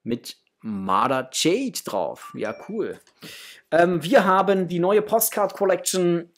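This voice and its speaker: A man in his thirties hosts a casual unboxing from a room at home. His voice is husky rather than clean, and he talks informally, addressing his viewers directly. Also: high voice, lively intonation, takes it slowly. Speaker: host of a toy collecting channel. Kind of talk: casual chat, unboxing to camera. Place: small room at home, indoors.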